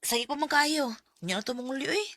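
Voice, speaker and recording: A woman's voice: sits high, brisk, bright, forceful tone, dry recording. A woman with a Cebuano Filipino accent playing a persistent, lovestruck young tomboy in a radio drama, talking casually and coaxingly. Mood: eager, coaxing.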